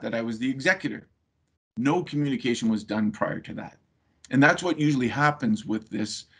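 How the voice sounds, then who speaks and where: A man's voice: mid-range voice, slightly rough. A man giving a webinar talk over a video call, telling a personal story in a conversational way with frequent fillers. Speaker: webinar presenter.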